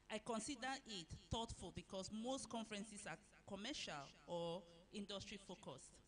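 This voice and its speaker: A woman whose first language is French, delivering a formal prepared keynote address as a conference chairperson in a measured way. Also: mid-range voice. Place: conference venue.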